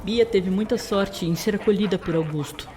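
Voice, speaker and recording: A woman's voice: medium pitch, normal speed, music in the background. A woman narrating a scripted audio drama as its storyteller.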